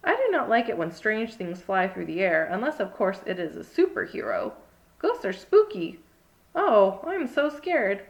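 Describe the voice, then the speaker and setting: A woman's voice: medium pitch, very animated, moderate pace. A woman voicing a small, timid but proud furry monster with earnest, formal phrasing in a children's storybook. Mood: scared. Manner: formal phrasing without contractions. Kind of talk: read-aloud children's storybook.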